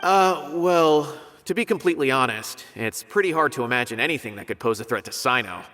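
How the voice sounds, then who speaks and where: A man's voice: mid-range voice, expressive, a little fast, natural voice. A man voicing a polite young academy scholar in a video game, earnest and a little hesitant. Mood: concerned. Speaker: scholar asking for help. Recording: game audio with music behind.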